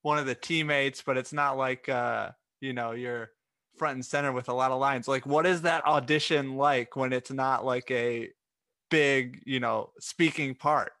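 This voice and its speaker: A man's voice: medium pitch, steady tone, measured pace, pushed and bright, no echo. A man co-hosting a podcast, asking long, loose questions full of "like" and "you know.". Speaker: podcast co-host. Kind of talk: casual interview questions.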